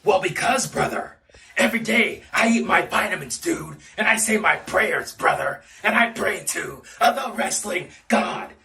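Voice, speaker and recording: Blustering man doing a gravelly wrestling promo. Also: high-pitched, animated, a little slow, pushed and bright, far from the mic, slight background noise. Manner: blustering wrestler bravado, tacks on "brother" and "dude". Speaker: fan wrestling promo performer. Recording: roomy echo, off-mic.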